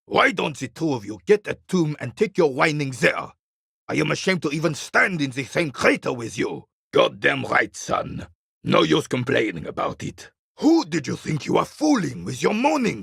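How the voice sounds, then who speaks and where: A proud, embittered old soldier from a video game. His voice is heavily creaky and husky, with curt, scornful delivery that rises and falls in animated swings. He sounds dismissive and full of contempt for weaklings. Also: fairly high pitch, dry recording, clean studio sound, exaggerated voice. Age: older. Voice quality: gravelly, raspy. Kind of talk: video game character dialogue.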